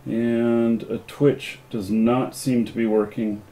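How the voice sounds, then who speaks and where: A man hosting a casual live-stream interview, speaking informally and haltingly as he thinks aloud. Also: medium pitch, flat delivery, dark tone. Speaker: live stream show host.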